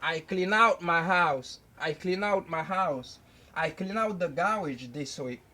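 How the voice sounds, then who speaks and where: A young man teaching an English lesson at a whiteboard, slowly repeating each phrase several times. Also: fairly high pitch, pushed and bright. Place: indoors at a whiteboard. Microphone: camera close by.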